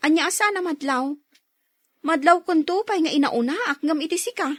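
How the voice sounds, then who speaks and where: A modest but frank working woman in her thirties played by an actress talks in a radio drama. Her voice is high, Ilocano-accented and recorded very dry. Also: normal speed, dry recording, natural voice. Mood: indignant. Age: 30s. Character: modest, hardworking 31-year-old woman, bashful but frank.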